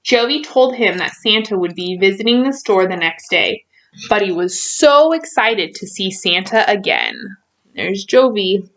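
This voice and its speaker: A woman reading a children's storybook aloud in an expressive way, stretching out key words for emphasis. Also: mid-range voice, a little slow, low-quality recording.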